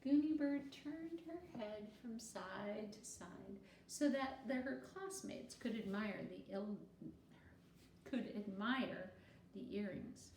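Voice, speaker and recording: Female children's storyteller, teacherly and unhurried, animated pitch, recorded in an echoey room. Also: creaky. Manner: deliberate, teacherly, explanatory. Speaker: read-aloud storyteller. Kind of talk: expressive children's read-aloud with teacherly commentary. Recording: roomy echo, faint background noise.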